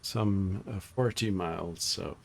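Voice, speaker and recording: A man in his forties hosting a relaxed, teasing group chat while flying, talking over a voice-chat headset. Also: deep voice, takes it slowly, creaky, a little hiss or hum. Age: 40s. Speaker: flight sim channel host.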